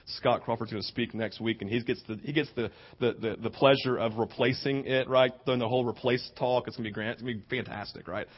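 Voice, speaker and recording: A man's voice: mid-range voice, fairly even intonation, fast talker, hardly any pauses, slightly rough, muffled recording. A man preaching a conversational sermon, earnest and informal, with rhetorical questions, tag questions and bits of acted-out dialogue. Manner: acts out imagined dialogue informally.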